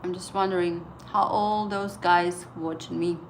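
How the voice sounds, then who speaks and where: A woman in her thirties, a native Estonian speaker with an Estonian accent, hosting a casual trading livestream and chatting with viewers in halting English full of fillers. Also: lowish voice, steady tone, slight background noise, low-quality recording. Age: 30s.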